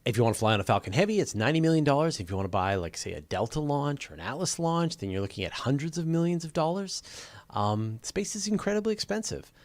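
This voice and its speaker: A man's voice: fairly high pitch, sing-song, fast, dry recording. A man hosting a live question-and-answer stream, explaining things conversationally and thinking aloud as he stresses his key points. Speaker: host of a live space and astronomy Q&A.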